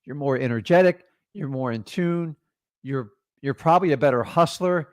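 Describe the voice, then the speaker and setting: A man's voice: fairly high pitch, moderate pace, no echo, studio quality. A middle-aged man coaching on real estate deals, talking in an emphatic, motivational, direct way. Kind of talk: energetic coaching talk.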